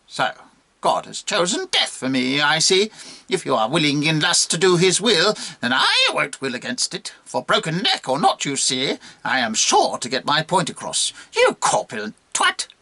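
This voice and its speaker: A scornful talking monkey, as acted out by a man, whining and taunting with theatrical relish. The pitch swoops up high and the delivery is bright and piercing, like a creature from a grown-up storybook poem. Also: big pitch swings, moderate pace, creaky, full character voice. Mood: defiant, mocking. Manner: taunting, theatrical, grandiloquent insults. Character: mocking, foul-mouthed, whining talking monkey. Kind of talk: dramatic poetry reading.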